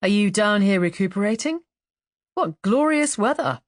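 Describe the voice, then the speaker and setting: A woman voicing a courteous, guarded male police inspector in an audiobook, measured and casually polite. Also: medium pitch, animated, no room sound, studio quality, lightly acted. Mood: casually genial.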